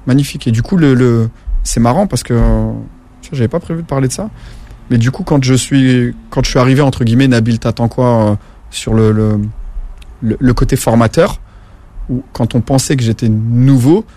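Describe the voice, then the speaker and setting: A man's voice: mid-range voice, moderate pace, warm tone. A man from France, a former taxi driver, talking casually as an interview guest, rambling and slangy with many restarts.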